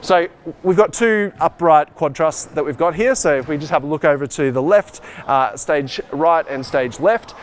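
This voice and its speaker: Male school tech tour guide, fairly high voice, explanatory and informal, recorded in a hall with ambient noise. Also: moderate pace. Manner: explanatory, speaking to camera while showing equipment. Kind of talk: informal technical walk-through tour.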